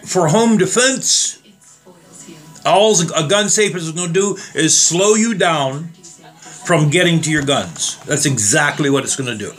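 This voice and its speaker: A slightly hoarse man talking casually and rambling as he tests trigger locks on guns for his viewers. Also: a little high, unhurried, fairly bright tone, soft background music. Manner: rambling, plain-spoken, addresses viewers as guys. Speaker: gun reviewer. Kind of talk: casual demo vlog.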